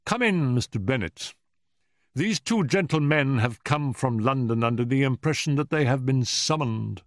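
A man's voice: medium pitch, lively intonation, moderate pace, dry recording, clean studio sound, voice pushed up, natural voice. A man voicing a malicious, irascible elderly professor in an audiobook story, with a pompous, cutting manner. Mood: angry. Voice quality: screaming at peaks.

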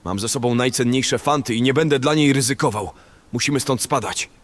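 A man acting a selfish, panicky bandit in a fantasy audio drama, with an excitable, colloquial way of speaking. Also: mid-range voice, a little fast, lightly acted. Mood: scornful, urgent.

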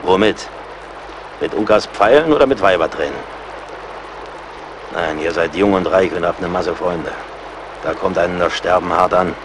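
Man acting a low-voiced, commanding frontier scout. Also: normal speed, pauses often, close to an everyday voice. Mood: grim, bitter. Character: seasoned, relentless male frontier scout, grimly practical and loyal. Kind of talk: German radio play acting. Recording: clear battle and outdoor noise behind.

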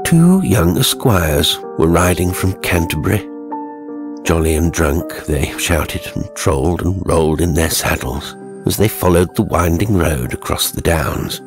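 A man narrating a scripted horror story in a measured, theatrical way. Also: deep voice.

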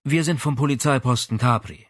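A man voicing a businesslike, persistent policewoman with brisk questioning in a crime audiobook. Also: mid-range voice, fairly bright tone, no room sound, studio quality, natural voice. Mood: brisk, insistent.

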